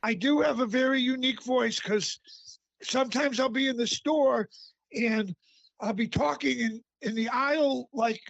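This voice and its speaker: Gravelly raspy-voiced man, relaxed. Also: very high-pitched, no room sound, lo-fi sound. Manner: relaxed, halting with fillers. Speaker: author guest. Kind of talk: casual interview.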